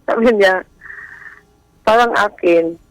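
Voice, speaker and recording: A woman's voice: medium pitch, slow, no room sound, rough recording. A woman with a Filipino accent telling a personal story as a guest, in a casual and hesitant style. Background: background music.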